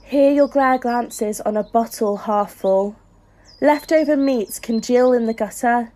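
A woman voices a grave chorus in a poetic audio drama. The tone is mellow and a little high, with birds singing behind. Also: unhurried, close to an everyday voice. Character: grave female chorus describing a littered street.

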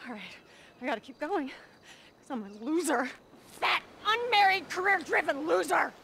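A woman's voice: sits high, lively intonation, moderate pace, gravelly, pushed and bright, slight background noise, exaggerated voice. An actress playing an angry, insecure, combative fitness fanatic woman in her thirties in a video game cutscene, swearing and neurotic. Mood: bitter, frantic. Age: 30s.

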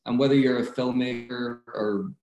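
A man's voice: medium pitch, steady tone, normal speed, a little mellow. Belfast-accented man in an echoey room. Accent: Northern Irish, Belfast. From Northern Ireland. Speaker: film director. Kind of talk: video call interview. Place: room at home. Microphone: video call.

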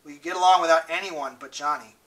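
A man's voice: a little high, steady tone, fast, fairly bright tone, distant mic, natural voice, low-quality recording. A man reading aloud and voicing a breezy, wisecracking teenage boy from a street gang.

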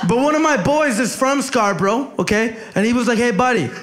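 High-voiced brash young stand-up comic. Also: male voice, animated, brisk. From El Salvador. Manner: brash, crowd-working, shouty punchlines. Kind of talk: stand-up comedy set. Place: comedy club stage. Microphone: handheld mic. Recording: echoey club with crowd noise.

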